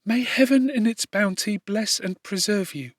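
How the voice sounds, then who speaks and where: A man voicing a gentle, condemned young woman in an audiobook, speaking tenderly and formally with sorrowful resignation. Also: high-pitched, normal speed, no room sound, studio quality, pitched above the performer's own voice. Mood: tender farewell. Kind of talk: audiobook character dialogue.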